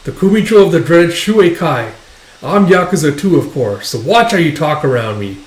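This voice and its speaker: A man's voice: fairly high pitch, lively intonation, moderate pace, natural voice. A man doing a boastful young yakuza thug. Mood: boastful menace. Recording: echoey room.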